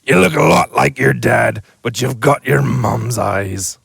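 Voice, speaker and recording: A man voicing a huge, gruff but kindly giant with rough country speech in a storybook reading. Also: lively intonation, a little slow, a crowd in the background. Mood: hearty. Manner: booming, broad rustic dialect.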